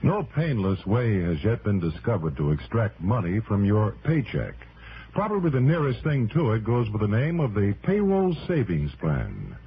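A man's voice: on the low side, huge pitch swings, moderate pace. A man announcing a scripted public service message in the style of 1960s radio. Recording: dull old radio recording, faint hiss.

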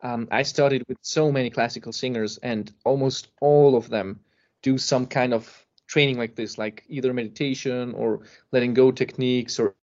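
A man explaining things casually as a podcast guest, with emphasis and pauses to find his words. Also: medium pitch, fairly even intonation, a little mellow. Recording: dull lo-fi podcast audio.

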